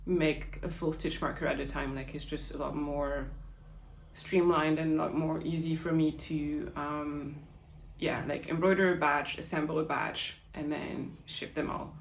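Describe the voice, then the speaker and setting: A woman's voice: low-pitched, little pitch movement, unhurried, vocal fry, slight background noise. A young woman with a French accent hosting a podcast, answering listener questions in a casual, chatty way full of fillers. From France. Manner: chatty, lots of fillers and likes. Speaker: podcast host. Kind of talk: casual Q&A chat. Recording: roomy echo.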